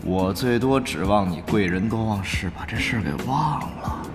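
An actor playing an earnest, easily flustered guild leader in an online game, stammering and exasperated, in a Chinese radio drama. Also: male voice, fairly low pitch, moderate pace, over music, lightly acted. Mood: awkward, evasive.

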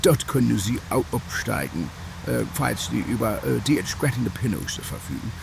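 A man playing a self-important, halting harbor master in an amateur comedy radio play. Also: mid-range voice, animated, vocal fry. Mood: officious. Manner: pompous, halting. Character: self-important male harbor master, boastful big boss. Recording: noisy 1980s homemade radio recording.

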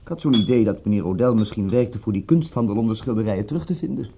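An actor in a radio drama playing a shady, probing gentleman who is formal, courteous and insinuating. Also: on the low side, fast talker, breathy edge. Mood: probing, casual. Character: smooth-mannered, probing, shady gentleman with information to sell. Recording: muffled, dull old radio recording.

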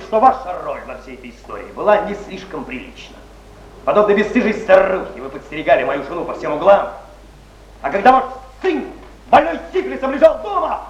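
A man's voice: very high voice, full character voice. A man playing an agitated poet with wounded honour in a stage drama, declaiming with passion. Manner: impassioned, declamatory. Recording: echoey, muffled 1970s theatre recording, faint hiss.

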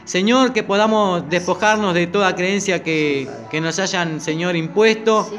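A man's voice: high-pitched, measured pace, music in the background. An older man with a Rioplatense Spanish accent, leading a Bible study group and its spoken prayer in an earnest, questioning way.

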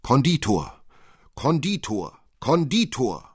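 A man voicing a pompous little town mayor who proclaims loudly and ceremoniously, in a storybook reading. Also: mid-range voice, wildly swooping pitch, slow, breathy edge, voice pushed up, full character voice. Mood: commanding cry.

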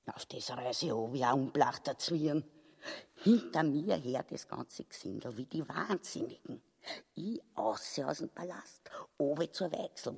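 A sharp-tongued, self-pitying schemer of a wife in broad Austrian dialect for a radio play. The voice sits rather high and is husky, with breathy asides and theatrical laments. Long reverb surrounds every word. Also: male voice, full character voice. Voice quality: raspy, creaky. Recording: long reverberant hall echo.

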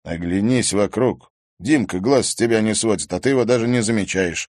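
A level-headed young man with a low voice, matter-of-fact and direct, as a man reads him. His lines sound a touch louder than the narration, with a very dry, close sound. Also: brisk, no echo, lightly acted. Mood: advising. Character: calm young male student, blunt advisor. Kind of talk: audiobook character voicing.

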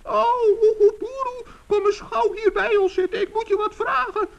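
A timid, good-hearted little gnome from a vintage children's radio play. His voice is very high with a gravelly edge, and he stammers and frets whenever things go wrong. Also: steady tone, moderate pace, lo-fi sound. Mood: eager. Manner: stammering, fretful. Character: timid kindly male forest gnome.

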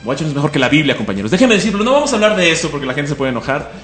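A man's voice: a little high, very animated, a bit bright, roomy sound, faint music behind. A man with a Mexican accent hosting a comic radio show, joking and enthusiastic. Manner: fast, joking, enthusiastic, laughs often. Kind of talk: casual radio chat.

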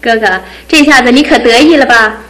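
A woman's voice: fairly high pitch, brisk, lightly acted, poor audio. Woman playing a playful young sister. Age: young adult. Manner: bright, teasing, playful. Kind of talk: 1970s radio drama acting.

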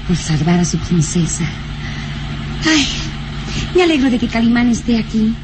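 An actress playing a smitten young heiress in an old radio serial, musing to herself in an admiring, romantic way. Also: female voice, mid-range voice, lightly acted. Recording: noisy old radio recording.

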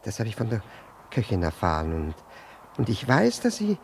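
An actor playing a flustered, over-polite jealous husband in a 1960s German radio play, stammering, repeating words and breaking off mid-sentence. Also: male voice, fairly low pitch, wildly swooping pitch, normal speed, vocal fry, a little hiss or hum, full character voice. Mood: nervous, apologetic.

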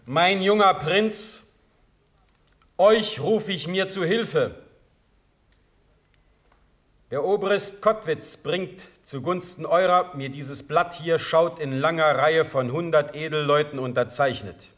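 A man's voice: a little high, takes it slowly, fairly bright tone. An actor playing a stern, proud male sovereign in a classical radio drama, with a commanding, formal declamation. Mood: formal, composed.

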